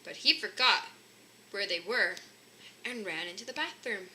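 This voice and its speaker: Female storybook reader, very bright and lively, slightly high, faint noise behind. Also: unhurried, rough recording. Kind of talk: expressive children's story narration.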